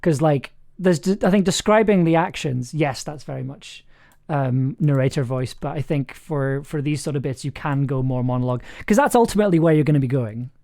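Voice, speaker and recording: A man's voice: fairly high pitch, brisk, creaky, darkish tone. A man hosting a livestream as writer and director, giving casual, encouraging feedback in a hesitant, rambling way. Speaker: host, writer-director of audio drama.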